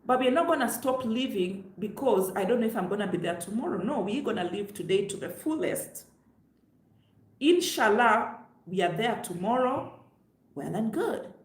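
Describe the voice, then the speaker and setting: A woman's voice: a little high, expressive, normal speed. Emphatic Kenyan woman in an echoey room. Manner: emphatic, preachy, addresses viewers directly. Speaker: travel vlogger. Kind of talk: direct-to-camera advice vlog. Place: room on the upper floor of her home, seated.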